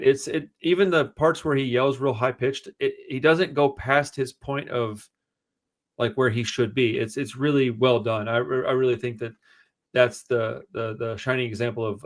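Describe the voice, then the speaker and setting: A man with a booming voice co-hosting a casual music review show, talking in an animated, slangy, rambling way. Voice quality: booming, projecting voice. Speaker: podcast co-host.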